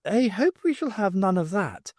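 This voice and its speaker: Male reader as elderly lady scholar, audiobook, high pitch, prim, genteel, formal. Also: very animated, normal speed, darkish tone, no echo, studio quality.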